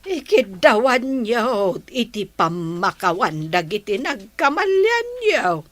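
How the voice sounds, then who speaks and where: A woman playing a wise elderly mother and grandmother in a radio drama, giving gentle, measured counsel and admonishment. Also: a little high, big pitch swings, no echo, heavily acted. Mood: calm, admonishing. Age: older.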